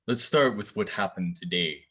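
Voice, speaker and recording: A man reading the part of a guarded, measured police investigator at a drama table read. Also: low voice, lively intonation, fairly bright tone, husky, full character voice. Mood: businesslike.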